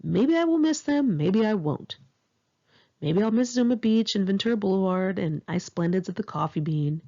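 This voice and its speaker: A Californian American woman reading her own memoir aloud in a candid, expressive, conversational way, with a husky, creaky voice. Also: mid-range voice, normal speed, muffled recording. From Los Angeles area, California, United States. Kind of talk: narrated memoir audiobook, one-take home reading.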